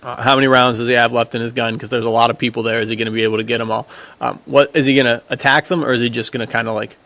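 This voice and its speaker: Enthusiastic, rambling man with a creaky voice. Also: medium pitch, flat delivery, very fast, sharp tone. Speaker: podcast panelist. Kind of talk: casual chat.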